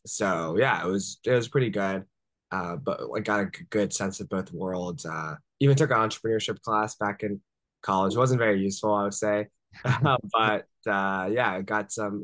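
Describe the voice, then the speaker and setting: A man's voice: on the low side, normal speed, fairly bright tone, no room sound. A young man in his twenties, a startup founder raised in the Washington DC area, telling stories casually over a video call with lots of fillers. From born in Japan, raised in Washington DC area. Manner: chatty, many fillers like "uh" and "like", laughs easily. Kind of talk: casual interview storytelling. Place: home room on a video call.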